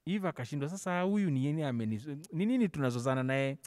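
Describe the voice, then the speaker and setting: A man's voice: on the high side, expressive, fast, darkish tone. A middle-aged Kenyan man teaching a children's church class, telling a Bible story theatrically, acting out dialogue and slipping casually between languages. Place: large church hall, on stage. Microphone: handheld microphone, held close over face mask. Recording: long hall reverb.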